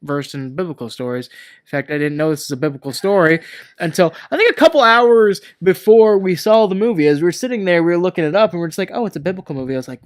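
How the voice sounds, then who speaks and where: A man with a high-pitched voice co-hosts a movie review show. He talks casually but emphatically, peppering his opinions with swearing and blunt complaints. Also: lively intonation, quick pace. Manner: emphatic, profane ranting. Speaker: podcast co-host reviewing movies.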